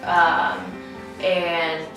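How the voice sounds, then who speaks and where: A teenage woman from Ecuador answering interview questions, halting with fillers. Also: fairly low pitch, flat delivery. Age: teens. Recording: echoey room from far off, faint music.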